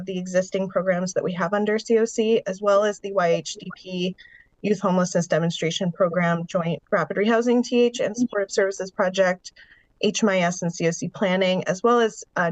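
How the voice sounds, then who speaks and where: A woman's voice: fairly low pitch, no room sound, rough recording. A congested woman presenting a funding update at a public meeting, explaining figures and programs step by step over a video call. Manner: steady, explanatory walk-through of figures and programs. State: congested, under the weather. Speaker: staff presenter at a county board meeting.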